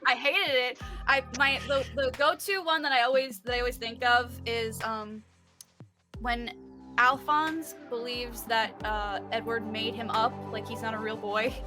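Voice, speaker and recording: A woman with a fairly high voice talks casually as a guest on a chat show. She is effusive, informal and giggly, and music plays clearly behind her. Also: fairly even intonation, measured pace. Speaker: interview guest.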